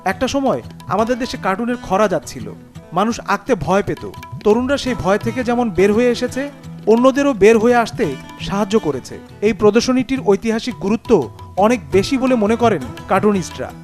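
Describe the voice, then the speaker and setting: A male reporter narrates a news feature in standard Bangladeshi Bengali. His voice sits high for a man, and soft music plays behind it. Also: lively intonation, fairly quick.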